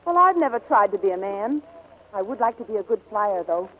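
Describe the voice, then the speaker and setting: A woman's voice: mid-range voice, sing-song, brisk, fairly bright tone. An American actress playing a spirited, stubborn young woman pilot in 1940s radio drama style. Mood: playful, earnest. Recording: noisy vintage 1940s radio recording.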